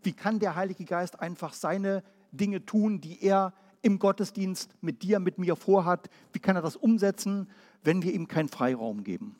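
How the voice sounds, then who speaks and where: A man from Berlin preaching a Pentecostal sermon, firing off rhetorical questions and then dropping quiet and low. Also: lively intonation, moderate pace, in a large hall. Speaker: pastor.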